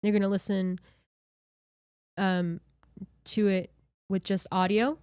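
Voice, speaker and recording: A woman's voice: mid-range voice, little pitch movement, slow, darkish tone, clear, resonant tone, dry recording. Bubbly, chatty woman from Hawaii. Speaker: podcast workshop presenter. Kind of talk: casual webinar chat.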